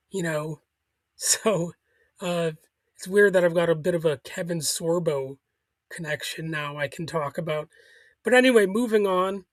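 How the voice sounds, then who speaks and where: A man's voice: high-pitched, no room sound. A man hosting a solo political commentary show, arguing critically, with chuckles and small restarts.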